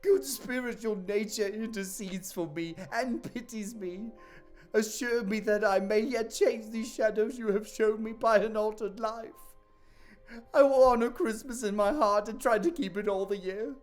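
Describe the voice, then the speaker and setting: A man playing Scrooge, an old miser begging a spirit near tears, his voice straining high and desperate in an audio drama. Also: unhurried, soft background music. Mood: pleading. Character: aging miser Scrooge, terrified and pleading.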